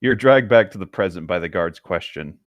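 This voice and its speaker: A man's voice: sits low, expressive, brisk, no room sound, clean studio sound. A man chatting casually and narrating a fan-written story as an amateur co-reader.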